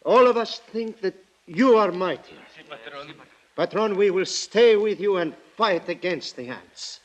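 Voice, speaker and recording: A man's voice: high-pitched, expressive, unhurried. An actor playing a loyal, earnest plantation foreman, hesitant and deferential, in an old-time radio drama. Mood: solemn, resolute. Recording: vintage radio recording.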